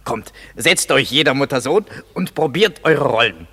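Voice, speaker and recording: A man's voice: medium pitch, lively intonation, moderate pace, sharp tone, husky. An actor playing a male craftsman leading a play rehearsal, agreeable and fond of repeating himself. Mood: organizing. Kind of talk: German radio play acting.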